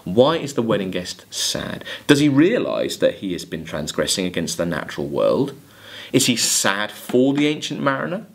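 A man giving a literature lecture, emphatic, halting and deliberate as he corrects himself. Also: lowish voice, big pitch swings, vocal fry. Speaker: college English literature lecturer. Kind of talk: lecture with quoted lines of verse. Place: indoors, recorded video lecture at a desk.

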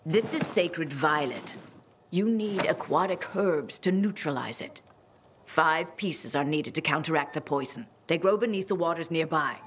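A woman playing an older herbalist healer in a video game, calm, stern and measured. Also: sits low, noisy background. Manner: measured, instructive. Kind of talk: video game dramatic acting.

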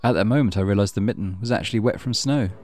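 A man's voice: on the low side, racing, a little mellow, faint music behind. A man reading a horror visual novel aloud as dramatic first-person narration. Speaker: game streamer reading aloud.

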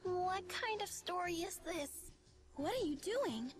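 High, perky little girl. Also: animated, slow pace, music in the background, exaggerated voice. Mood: playful, curious.

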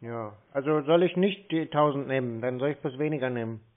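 A man's voice: medium pitch, a little fast. A man chatting casually on a call in a dry, colloquial, contrarian way, like a stubborn customer. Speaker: prank caller posing as a customer. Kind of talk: casual phone conversation. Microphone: telephone. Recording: tinny, narrow phone line.

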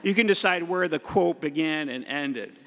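A middle-aged man, a priest, giving a playful homily from the pulpit with the odd hype-man shout. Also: on the high side, lively intonation, normal speed. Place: large church. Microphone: pulpit mic. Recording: echoey church hall.

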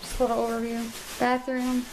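A woman's voice: a little high, monotone, moderate pace. A young woman rambling casually as she vlogs on a handheld camera, with lots of fillers. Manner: chatty, rambling, filler-heavy. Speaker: vlogger. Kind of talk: casual vlog chat. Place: bedroom, then car and hotel room. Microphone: handheld camera at arm's length. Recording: noisy handheld camera audio.

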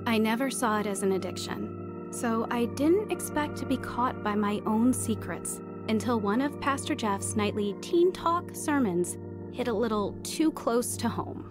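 Rebellious teen girl in a radio drama, kinda high voice, guarded, music behind her. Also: animated, unhurried. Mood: reflective, confessional. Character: rebellious, guarded teenage girl, narrating her own past. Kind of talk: dramatized first-person narration, radio drama.

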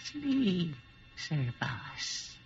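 A man playing a gloating old wizard in a 1940s radio drama, chanting archaic words in a creaky, incantatory voice. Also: sits high, sing-song, drawn-out words, over music, heavily acted. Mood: gloating, sinister. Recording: dull old radio recording.

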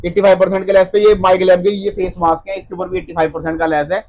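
A high-voiced man in his thirties talks very fast, listing goods and discount prices like a seasoned seller. His pushy patter stumbles and repeats words as he rushes on. The sound comes close from a phone camera in a shop. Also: little pitch movement, darkish tone. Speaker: wholesale cosmetics shop owner. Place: cosmetics shop among stocked shelves, indoors. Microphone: handheld phone camera up close.

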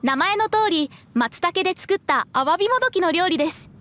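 An actress playing a courteous young waitress explaining things politely in an anime game. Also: female voice, very high voice, lively intonation, a little fast, pushed and bright, full character voice. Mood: polite, proud.

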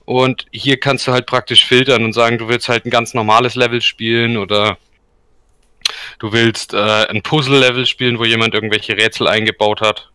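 Bright-voiced man chatting about games. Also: mid-range voice, level tone, normal speed, smooth, dry recording. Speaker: podcast co-host. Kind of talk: casual gaming chat.

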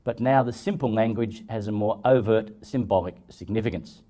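A man in his fifties giving prepared commentary as a translator, measured and formal. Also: fairly low pitch, dark tone.

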